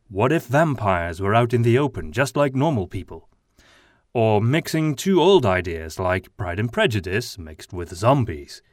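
Flemish guy hosting a podcast, a bit low, lots of ums and restarts, dry close mic. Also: no room sound. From Flanders. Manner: hesitant, many ums and restarts. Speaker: podcast host and audio drama writer. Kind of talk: casual monologue, then reading his own article.

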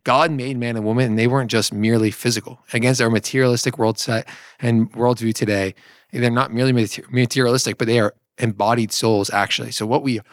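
A man's voice: medium pitch, steady tone, fast talker, no room sound. A man with a mid-range voice talks through theology in a conversational, teaching way. He explains point by point and keeps checking in with short tag questions. The sound is dry and close. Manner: explanatory, often adds "right?" as a check. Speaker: discussion co-presenter teaching theology.

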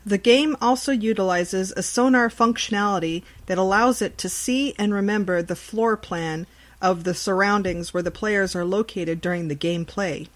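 A woman reading a scripted report as a podcast host. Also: medium pitch. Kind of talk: scripted news report narration.

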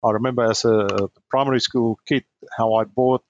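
A man with a southern African accent, speaking as a podcast guest in a measured, polite way with small hesitations. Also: mid-range voice, fairly even intonation, lo-fi sound, slight background noise. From Namibia. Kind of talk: casual podcast interview.

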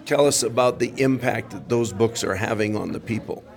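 Deep-voiced podcast host saying amen. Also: male voice, normal speed, creaky, faint music behind. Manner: warm, affirming, frequent amens. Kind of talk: interview on a mission trip.

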